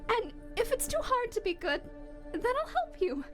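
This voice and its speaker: A woman voicing a gentle, trusting child skeleton who speaks earnestly and hopefully in a dramatic comic dub. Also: very high voice, very animated, normal speed, soft background music, full character voice. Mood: tender, hopeful. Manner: earnest, pleading, reassuring.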